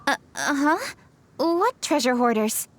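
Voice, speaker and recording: A woman's voice: high-pitched, lively intonation, measured pace, heavy background noise. A woman playing a dutiful, slightly flustered young warrior lady in a video game, polite and earnest.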